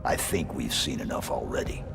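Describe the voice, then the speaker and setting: Terse armored super-soldier,, very deep and flat, reverberant mix over a score. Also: male voice, normal speed, creaky, full character voice. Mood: stoic. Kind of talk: video game cutscene acting. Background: music score.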